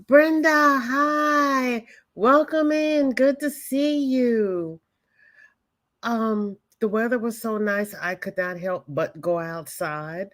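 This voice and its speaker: A woman's voice: fairly high pitch, slow pace, fairly bright tone. A woman hosting a crochet livestream, chatting casually and greeting viewers by name as their comments come in. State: voice may be weak, hoping it holds up. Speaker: crochet channel host greeting livestream chat.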